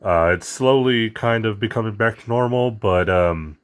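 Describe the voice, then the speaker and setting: A man with a hoarse, raspy voice from losing it, chatting casually as the host of an unboxing vlog, rambling with lots of fillers. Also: fairly low pitch, moderate pace. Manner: rambling, lots of ums and uhs.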